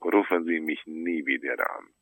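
Deep, forceful, exasperated man. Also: normal speed, no echo. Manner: exasperated, agitated, emphatic. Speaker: sculptor and stonemason taking a phone call.

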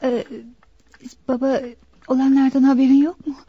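An earnest young female suspect in a radio drama. Her voice is high and soft-toned, with a hesitant, bewildered manner. The dry recording is slightly muffled. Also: level tone, normal speed, breathy edge, no room sound. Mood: emotional. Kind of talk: radio theatre acting.

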